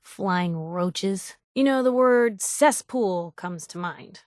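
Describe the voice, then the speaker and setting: A woman's voice: medium pitch, lively intonation, slow pace, darkish tone, dry recording, studio quality. A woman playing a wry, inquisitive young reporter companion in a video game, with an American accent and a chatty, sarcastic way of talking. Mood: sardonic disgust. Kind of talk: video game companion dialogue, conversational asides.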